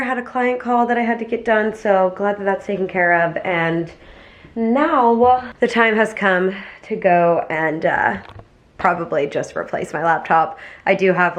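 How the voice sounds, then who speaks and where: A young woman with a cold, chatting candidly to camera in a casual vlog, full of fillers. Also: on the low side. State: has a cold. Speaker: vlogger. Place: home, grocery store and car.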